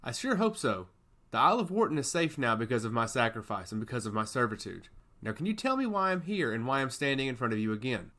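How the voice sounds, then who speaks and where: An earnest, self-assured servant of the gods, played by a male narrator. He speaks with deference and lively, moving pitch, as a character in a fantasy audiobook. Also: fast talker. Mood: wry, curious. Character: dutiful, self-assured male mortal turned divine servant.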